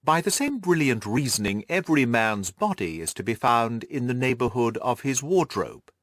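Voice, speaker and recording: A man's voice: medium pitch, sing-song, moderate pace, fairly bright tone, dry recording, clean studio sound. A man voicing a confident, amused detective in an audiobook, precise and teasing in his talk. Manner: wry, precise, self-assured. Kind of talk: audiobook character dialogue.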